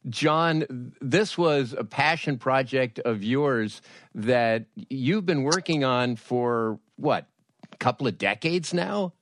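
Animated male podcast host, dry close sound. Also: mid-range voice, slow, no room sound. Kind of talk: casual interview chat.

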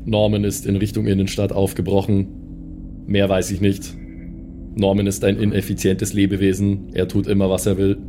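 A man voicing a cold, literal combat robot with a clipped, deadpan delivery. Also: low voice, normal speed, background noise, natural voice. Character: cold, literal male combat robot, loyal son-like machine.

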